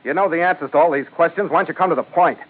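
A man's voice: on the high side, rapid-fire, a little hiss or hum, close to an everyday voice. An American man playing a weary, sardonic ship master in a 1940s radio adventure, with dry, clipped, hard-boiled delivery. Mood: irritated, sardonic. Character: weary, sardonic male ship master narrating his voyage log. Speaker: narrator and lead actor. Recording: muffled vintage radio broadcast, loud music.

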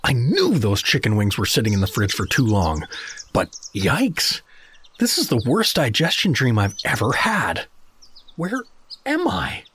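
Befuddled average dad, slow grumbly talk, super animated pitch, comedy podcast drama. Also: male voice, slight background noise, full character voice. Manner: grumbling, befuddled, talks to himself. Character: tragically average, hapless suburban dad from South Dakota. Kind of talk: audio comedy drama acting.

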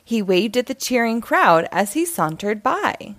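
A woman reading a rhyming children's picture book aloud in an expressive storytelling style. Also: fairly high pitch, unhurried, no room sound.

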